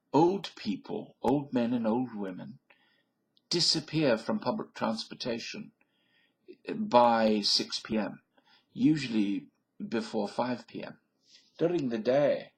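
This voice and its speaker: Slow, gravelly middle-aged man rambling. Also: mid-range voice. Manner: blunt, opinionated, wandering asides. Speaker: vlogger. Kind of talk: rambling casual monologue.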